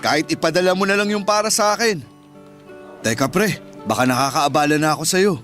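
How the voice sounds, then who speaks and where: A man's voice: on the high side, fairly quick, fairly bright tone, soft background music, natural voice. An actor playing a chummy, joking male office workmate with a Filipino accent, bantering colloquially in a radio drama. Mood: friendly, joking.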